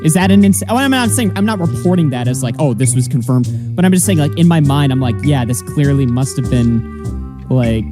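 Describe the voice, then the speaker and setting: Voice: mid-range voice, animated, a little fast, over music. Hedging voice on a stream. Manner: hedging, self-qualifying. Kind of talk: casual chat.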